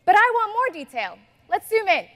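A young woman from Ethiopia, a native Amharic speaker, giving a lively product demo on a large conference stage. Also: very high-pitched, moderate pace. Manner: lively, upbeat presenter. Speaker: software engineer presenting.

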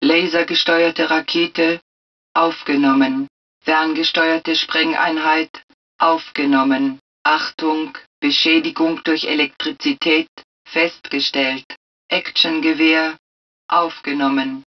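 Female combat suit computer, video game announcements, lowish pitch, calm, impersonal, clipped, measured, muffled sound. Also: far from the mic. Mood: impersonal, alert. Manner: clipped, impersonal, measured, matter-of-fact delivery.

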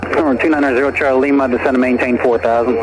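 A man's voice: medium pitch, steady tone, very fast. A man working as an air traffic controller, giving procedural instructions over aviation radio.

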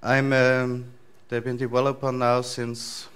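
A man in his thirties with an Austrian accent, giving a relaxed, loosely structured talk to a conference audience in a large lecture hall. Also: mid-range voice, measured pace, clear, resonant tone. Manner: relaxed, thinking aloud with frequent fillers and restarts. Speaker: conference speaker.

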